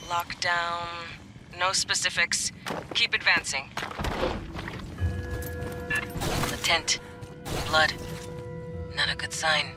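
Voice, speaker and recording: High-pitched, terse marine squad sergeant. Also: male voice. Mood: tense, watchful. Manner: terse, commanding, clipped orders. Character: gruff, seasoned male marine squad sergeant in combat. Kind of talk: game voice acting, tactical radio chatter. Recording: loud music behind the voice.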